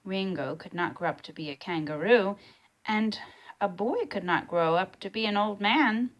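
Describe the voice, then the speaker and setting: A woman reading a children's storybook aloud in a deliberate, expressive way. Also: medium pitch. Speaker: storybook reader.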